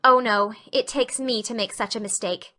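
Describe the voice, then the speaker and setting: Woman as chatty orphan girl, high pitch, bright tone, melodramatic, effusive, storybook reading. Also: moderate pace. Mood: forlorn. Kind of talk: audiobook dramatic reading.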